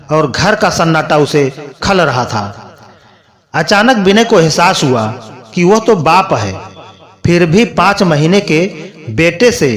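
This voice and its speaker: A man narrating a sentimental moral story, reading aloud in a measured storytelling manner. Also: fairly high pitch.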